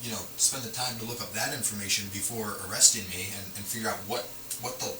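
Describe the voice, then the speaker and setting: Halting low-voiced man in noisy, echoey audio. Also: steady tone, far from the mic. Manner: hesitant, halting, repeats words while politely pleading his case. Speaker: citizen stopped by police, medical marijuana patient. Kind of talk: casual argumentative conversation.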